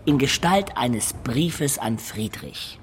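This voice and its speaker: A man's voice: medium pitch, lively intonation, slow, a little hiss or hum. An actor playing a man who recounts his past adventures in a radio drama. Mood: reflective. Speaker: narrator and lead character.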